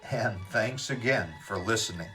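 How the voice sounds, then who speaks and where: A man's voice: lowish voice, moderate pace, bright, forceful tone, faint music behind. A man giving a scripted closing announcement as the announcer for a theatre group.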